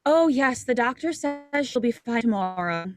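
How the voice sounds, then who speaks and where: A woman playing a patient, concerned wife and mother in a 1940s radio drama, with a gentle manner. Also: fairly high pitch, lively intonation, normal speed, soft background music, natural voice. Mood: worried.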